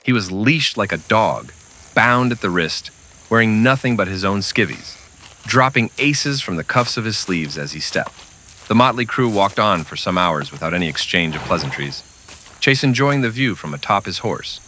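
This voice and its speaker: Male narrator, dramatic old-time radio western storytelling, sharp bright tone, faint noise and crickets behind. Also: lowish voice, animated, moderate pace.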